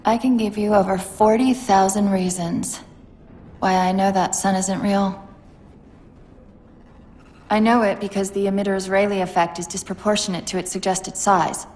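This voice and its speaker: A woman's voice: mid-range voice, steady tone, normal speed, pauses often, a little mellow, lightly acted. An actress playing a brainy, wistful female artificial intelligence, precise and reflective, in a video game cutscene. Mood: pensive. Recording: faint background noise, ambience.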